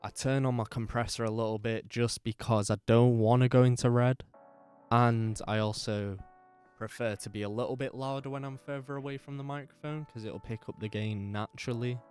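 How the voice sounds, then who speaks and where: A podcast host with a bassy, slightly gravelly voice, talking casually and rambling, repeating himself, on a dynamic microphone that he moves closer and farther. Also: fairly even intonation, a little fast, darkish tone, soft background music. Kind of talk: casual talk about microphone settings. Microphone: dynamic microphone, distance varies.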